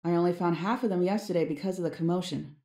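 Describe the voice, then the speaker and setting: A woman's voice: fairly low pitch, quick pace, dark tone, close to an everyday voice. A woman playing a curious, uneasy ghost investigator in a video game, earnest and inquisitive. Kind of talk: video game character dialogue. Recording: roomy echo, faint music behind.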